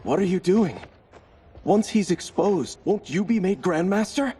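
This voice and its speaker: An actor playing a loyal, impassioned young ninja in a video game, urgent and earnest. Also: male voice, high voice, expressive, unhurried, slight background noise. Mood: alarmed. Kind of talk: cinematic video game acting. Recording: loud music behind the voice.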